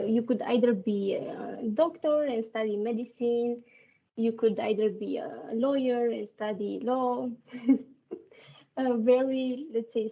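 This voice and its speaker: A woman in her thirties from Romania, speaking English as a podcast guest, laughing often and pausing with fillers. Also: on the high side, gravelly, highs cut off. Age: 30s. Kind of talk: casual interview chat.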